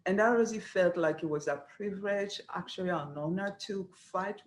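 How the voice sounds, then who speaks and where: A middle-aged woman from Rwanda, answering interview questions as a program director in an earnest, measured way, pausing often. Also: medium pitch. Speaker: dietetics program director, guest. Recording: echoey room.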